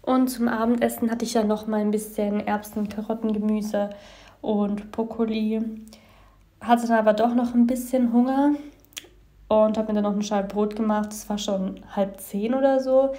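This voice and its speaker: A young woman in her twenties, a food vlogger chatting casually and relaxed at home. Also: medium pitch, normal speed. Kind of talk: casual vlog chat. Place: home rooms and kitchen, indoors. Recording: echoey room with background noise.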